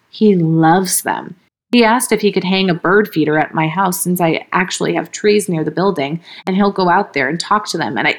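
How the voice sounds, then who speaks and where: A woman podcast co-host reading a first-person horror story aloud. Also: on the low side. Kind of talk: horror story read aloud, then casual chat.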